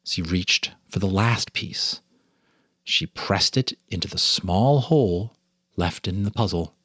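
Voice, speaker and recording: A man giving a dramatic reading of a ghost story, with suspenseful, deliberate phrasing and dramatic pauses. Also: low voice, fairly bright tone, no room sound, clean studio sound. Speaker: storyteller, narrator and host.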